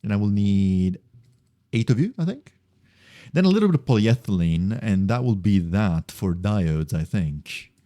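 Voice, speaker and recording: A man's voice: fairly low pitch, lively intonation, measured pace, warm tone, dry recording, clean studio sound. A man chatting casually on a game livestream, thinking aloud in a rambling, repetitive way. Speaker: game streamer. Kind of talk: casual livestream chat while gaming.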